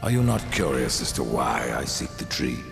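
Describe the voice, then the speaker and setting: A grim undead horseman from a video game, with a growling, creaky voice. He is curt and defiant, giving commands with menace and dramatic swings of pitch. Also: sing-song, normal speed, over music, full character voice. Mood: stern, defiant. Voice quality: gravelly. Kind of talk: video game cutscene acting.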